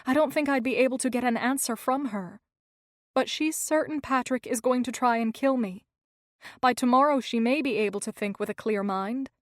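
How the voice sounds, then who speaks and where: Woman voicing a gentle, resolute young woman. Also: on the high side, normal speed, no echo, clean studio sound, lightly acted. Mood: calm. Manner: gentle, reassuring.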